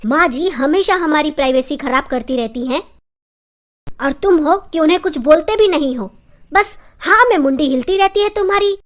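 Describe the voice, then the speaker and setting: A woman voicing a young daughter-in-law in an animated family comedy, theatrical and pointed, polite over simmering frustration. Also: high-pitched, moderate pace. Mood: exasperated. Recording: loud music bed under the voice.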